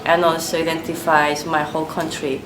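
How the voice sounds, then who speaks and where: A woman from Spain with a Spanish accent, answering interview questions in a halting way as she searches for words. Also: low-pitched, little pitch movement. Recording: echoey room with background noise.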